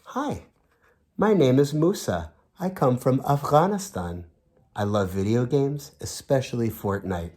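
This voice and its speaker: A man's voice: mid-range voice, lively intonation, measured pace, darkish tone. A man voicing earnest, friendly refugee children introducing themselves in a storybook read-aloud, expressively. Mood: cheerful, earnest.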